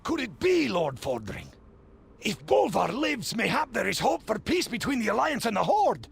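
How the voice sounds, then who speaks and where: An actor playing an earnest, valiant commander in a fantasy video game, declaiming heroically. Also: male voice, high voice, huge pitch swings, normal speed, vocal fry, a little hiss or hum, heavily acted. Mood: urgent, hopeful. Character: earnest, valiant commander, rallying his troops.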